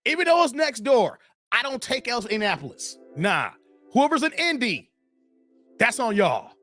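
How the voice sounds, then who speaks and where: A hoarse man hosting a casual livestream, slangy and chatty, greeting viewers by name. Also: very high-pitched, sing-song, normal speed, fairly bright tone, soft background music. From Chicago area, Illinois. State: hoarse, losing his voice. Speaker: livestream host.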